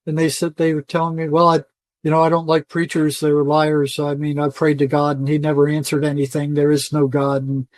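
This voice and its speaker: A man's voice: fairly high pitch, darkish tone, dry recording. An older man speaking as an interview guest in a conversational, colloquial and emphatic storytelling way. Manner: emphatic, colloquial, often quoting imagined dialogue. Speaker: interview guest, retired psychotherapist.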